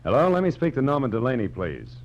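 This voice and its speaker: An actor playing a tough, wisecracking American private detective in clipped 1940s radio noir style. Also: male voice, medium pitch, huge pitch swings, fast. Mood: brisk, probing. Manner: clipped, wry, slangy. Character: tough, wisecracking male private detective, world-weary and sardonic. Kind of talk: 1940s radio noir acting with first-person narration. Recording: muffled, noisy 1940s radio recording with hum.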